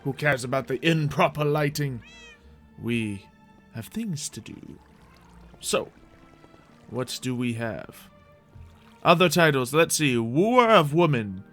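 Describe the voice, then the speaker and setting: A man's voice: medium pitch, lively intonation, slow pace, long pauses, a bit bright. Man streaming a game over music. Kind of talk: live gaming stream commentary with playful roleplay.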